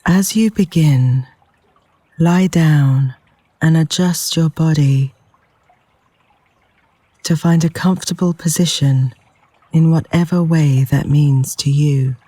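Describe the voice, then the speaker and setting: Very mellow, slow man guiding meditation. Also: fairly high pitch, no room sound. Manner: gentle, soothing, unhurried with long pauses. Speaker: guided meditation teacher. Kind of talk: guided yoga nidra meditation. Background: flowing river water sounds.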